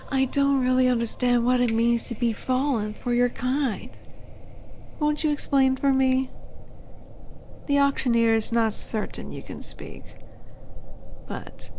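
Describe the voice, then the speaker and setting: A woman playing a flirty, condescending demon in an audio roleplay, teasing with unhurried menace and breathy asides. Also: on the high side, a little mellow, slight background noise. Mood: mocking fascination. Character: flirty, teasing female demon, haughty would-be buyer of captives. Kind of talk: scripted audio roleplay monologue.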